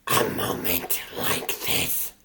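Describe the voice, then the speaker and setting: A man voicing a sinister, languid immortal seductress who savors pain, in a breathy, theatrical whisper for a comic dub. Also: roomy sound, heavy background noise, lightly acted. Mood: languid, savoring. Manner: slow, theatrical musing. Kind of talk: comic dub character acting.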